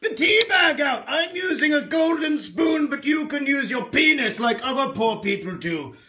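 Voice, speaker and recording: A man's voice: very high-pitched, animated, moderate pace, sharp tone, a little hiss or hum, voice pushed up, heavily acted. A man playing a pompous, crude rich Englishman with a mustache and monocle, in a comedy sketch video.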